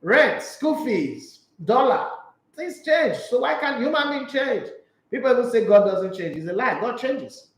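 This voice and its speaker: A high-pitched man talks football in a loose, opinionated way and makes jokey asides. The room around him is echoey. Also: animated, unhurried. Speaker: sports talk show host. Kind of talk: casual sports talk, reading news and viewer comments.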